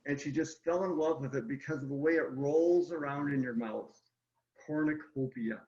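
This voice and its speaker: A man's voice: on the high side, moderate pace, dark tone. A man, an illustrator being interviewed, explains his ideas step by step in casual talk, speaking straight to his listeners. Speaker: picture book illustrator, guest. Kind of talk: casual explanatory chat about art. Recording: roomy echo, slightly dull lo-fi sound.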